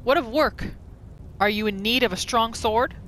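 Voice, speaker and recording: A woman's voice: a little high, moderate pace, a bit bright, a little hiss or hum, rough recording. A woman gaming host casually narrating her roleplay playthrough in the third person, as a voice-over.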